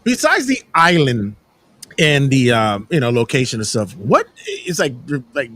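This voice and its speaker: A man's voice: medium pitch, moderate pace, no room sound. A man hosting a wrestling podcast, chatting casually and often repeating words as he starts a thought. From Puerto Rican family. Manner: animated, repeats words when starting sentences. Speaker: podcast host. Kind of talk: casual chat.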